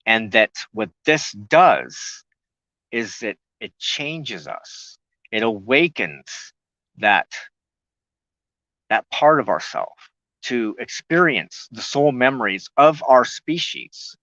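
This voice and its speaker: A man hosting a spiritual talk show, explaining loosely and haltingly, often pausing mid-sentence to search for words. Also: mid-range voice, big pitch swings, pushed and bright, dry recording, muffled recording.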